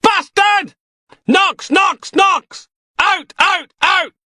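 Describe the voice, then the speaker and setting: A man voicing an angry mob of men and women, shouting chants and threats. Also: very high-pitched, drawn-out words, no room sound, studio quality, pitched above the performer's own voice, full character voice. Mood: furious.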